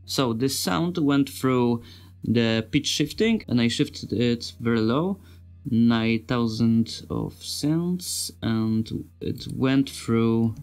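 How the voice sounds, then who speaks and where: Halting, slow-talking non-native English-speaking man. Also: medium pitch, darkish tone, faint music behind. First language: not English. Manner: halting, searching for words, simple phrasing. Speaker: sound designer giving a tutorial. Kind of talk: informal software tutorial narration.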